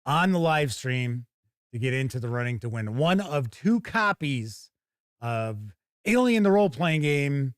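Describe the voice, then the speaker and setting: American male livestream host, casual, rambling, animated intonation, close dry mic. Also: mid-range voice, unhurried, smooth, dry recording. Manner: rambling, acts out quoted remarks. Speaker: livestream host and game reviewer.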